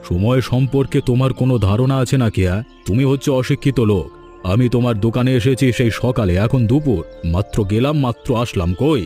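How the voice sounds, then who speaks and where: A middle-aged village patriarch from a cartoon tale, acted by a man, talkative, fussy and irritable. His voice is soft-edged and mid-pitched, and the words come quickly over background music. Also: natural voice. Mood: irritated, scolding. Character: fussy older village father-in-law, easily swayed and irritable. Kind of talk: animated cartoon story dialogue.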